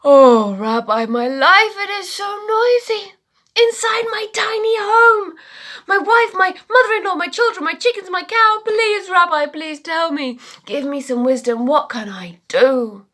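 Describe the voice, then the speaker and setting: A woman doing a desperate poor man, worn out and begging. The voice climbs high and loud with each plea. It sounds strained and pleading, like a man at the end of his rope. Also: a little slow, exaggerated voice.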